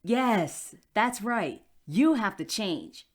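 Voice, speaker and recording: A woman's voice: mid-range voice, lively intonation, very slow. A woman playing a bold, playful former rocker who bosses and teases her friend, in an audio drama. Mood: playfully bossy. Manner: bossy, teasing, exuberant. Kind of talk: sci-fi romance audio drama.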